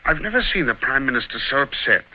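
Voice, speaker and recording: A man's voice: medium pitch, very animated, fast. A man playing a fussy, pompous senior government official in a vintage British radio drama, speaking precisely with an RP accent. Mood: grave, urgent. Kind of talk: 1950s-60s British radio drama acting. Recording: dull, hissy vintage radio recording.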